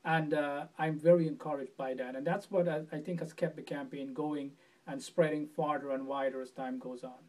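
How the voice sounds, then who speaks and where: A man from Guyana answering interview questions as a presidential candidate, in an earnest, measured way with small restarts. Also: on the high side, steady tone, a little mellow, distant mic, low-quality recording.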